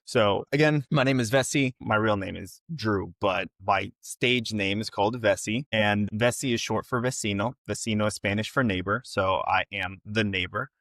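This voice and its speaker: A young man speaks informally to his online audience in a fairly low voice. The sound is dry, with little room around it. He addresses viewers directly and checks in often, like a content creator hosting his own livestream. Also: moderate pace, few pauses, a bit bright, dry recording. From Ecuador and North Carolina. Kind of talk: casual livestream monologue to camera.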